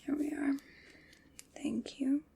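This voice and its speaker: A woman whispering as a calm, polite armor fitter who is taking careful measurements in an ASMR roleplay. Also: high-pitched, vocal fry, background noise, full character voice. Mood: gentle, attentive. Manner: soft whispering, slow and attentive.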